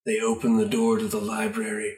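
A man narrating an improvised comic radio play, halting as he gropes for words. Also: lowish voice, fairly even intonation, vocal fry, darkish tone. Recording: roomy, echoey recording.

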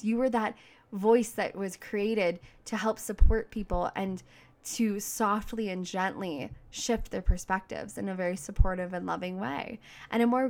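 A woman giving a motivational solo podcast talk as a coach, speaking in an emphatic, informal, slangy and outgoing way. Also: medium pitch. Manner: outgoing, self-described big voice.

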